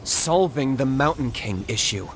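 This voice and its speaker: A man voicing a calm, confident young warrior in a video game, terse and matter-of-fact. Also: medium pitch, unhurried, soft background music, natural voice. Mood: steady.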